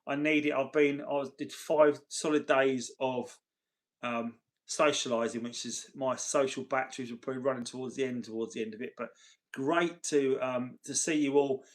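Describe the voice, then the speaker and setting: A man hosting a casual livestream, chatting chummily with viewers, his voice tired and getting gravelly. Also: medium pitch, fairly even intonation, moderate pace. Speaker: livestream host. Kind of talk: casual livestream chat, reading and answering viewer messages.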